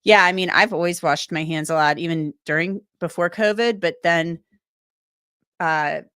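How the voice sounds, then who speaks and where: A woman's voice: on the low side, moderate pace, fairly bright tone, clean tone, dry recording, studio quality. Woman with a cold-strained voice, chatting. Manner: chatty, loose and thinking aloud, with many restarts and asides. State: recovering from a cold, voice a bit strained. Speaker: legal commentator and livestream host. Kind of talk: casual livestream chat reading viewer comments.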